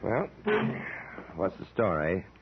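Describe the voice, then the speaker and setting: Casting: measured, suspicious crime boss, very low husky voice, vintage radio drama. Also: male voice, expressive, exaggerated voice. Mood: coolly menacing. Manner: measured, coolly probing. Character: suspicious, quietly menacing male gangster boss. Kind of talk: old-time radio drama acting. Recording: tinny old radio recording.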